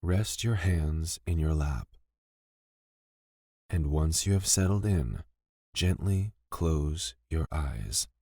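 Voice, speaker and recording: Male meditation guide, low-pitched, gentle and unhurried delivery of scripted lines, very dry close sound. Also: lively intonation, no echo. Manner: gentle, soothing, deliberate with pauses between phrases.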